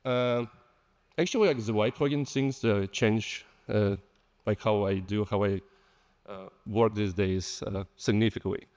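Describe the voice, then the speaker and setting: A man's voice: on the low side, fairly even intonation, measured pace, darkish tone. A man with a Belarusian accent giving an informal, chatty conference talk, joking and engaging his audience. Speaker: conference lightning talk speaker. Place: conference hall stage. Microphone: stage microphone. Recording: long hall reverb on stage sound.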